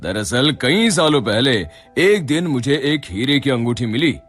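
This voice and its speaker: A shrewd rich merchant from a moral tale, acted by a man. He speaks slowly and theatrically, with plenty of melodic rise and fall, in a bright voice. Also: medium pitch, animated, soft background music. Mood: measured. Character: shrewd, kindly wealthy shop owner, the boss. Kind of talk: Hindi moral-story cartoon acting.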